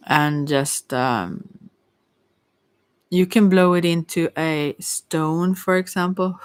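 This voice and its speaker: A psychic tarot reader speaking in a gentle, consoling ASMR style, rambling and often correcting themselves while giving unscripted advice. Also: mid-range voice, dry recording.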